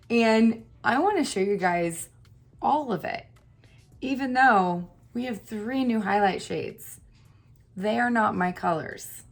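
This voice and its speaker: A woman with a hoarse, partly lost voice, chatting casually and laughing at herself while doing her makeup on camera. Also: mid-range voice. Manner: chatty, self-deprecating, laughs often. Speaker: beauty YouTuber. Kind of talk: casual get-ready-with-me chat.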